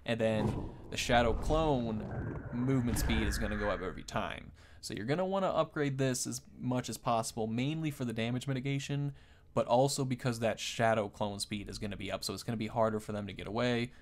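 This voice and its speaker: A man's voice: mid-range voice, a little fast. A man giving casual, off-the-cuff game commentary as a video host, rambling and thinking aloud.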